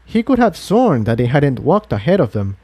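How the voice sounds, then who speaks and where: A man's voice: mid-range voice, very animated, normal speed, dark tone, dry recording. A man reading a fantasy adventure story aloud as scripted narration. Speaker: fan fiction narrator.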